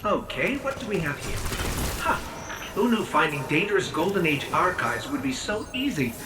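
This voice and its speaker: A man's voice: a little high, big pitch swings, normal speed, over music. A man voicing an upbeat, curious robotic guide in a cinematic game, with a wry, quippy delivery.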